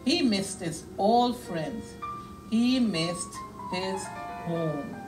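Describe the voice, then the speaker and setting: A middle-aged woman reading a picture book aloud to young children in a deliberate, expressive way, pausing to comment on the pictures. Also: mid-range voice, far from the mic, music in the background. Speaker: children's storyteller. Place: small indoor children's reading room.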